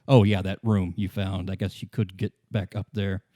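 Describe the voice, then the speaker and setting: A man playing a defensive male bar-gang leader in a tabletop game, speaking in a halting, grudging way. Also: sits low, little pitch movement, warm tone, no room sound, studio quality, pitched below the performer's own voice, lightly acted.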